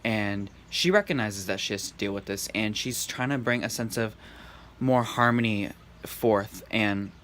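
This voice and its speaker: Monotone, serene man reading tarot. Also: fairly low pitch, measured pace. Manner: serene and calm. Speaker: tarot reader. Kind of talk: casual intuitive tarot reading. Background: faint background noise.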